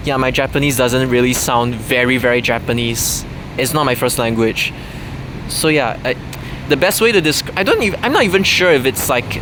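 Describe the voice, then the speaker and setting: Man, casual vlog monologue, rambling with fillers, mid pitch, clear background hum. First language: English. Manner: rambling, many fillers and restarts. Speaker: vlogger. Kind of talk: unscripted casual monologue to camera.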